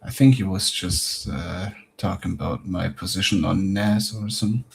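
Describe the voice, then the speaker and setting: A man from Germany joining a live trading stream, joking and relaxed in casual chat. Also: low-pitched, normal speed, gravelly, slight background noise.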